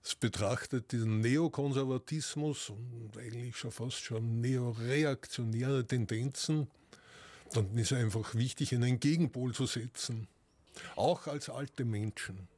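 A man's voice: medium pitch, measured pace, darkish tone, no room sound. A man, speaking earnestly in an interview and searching for the right words. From Austria. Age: 60s. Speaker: interviewee, artist. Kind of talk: thoughtful interview talk.

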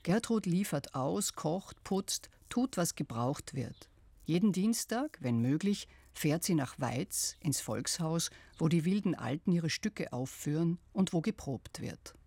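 A narrator reading a scripted radio feature in a calm, measured way. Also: mid-range voice, dry recording.

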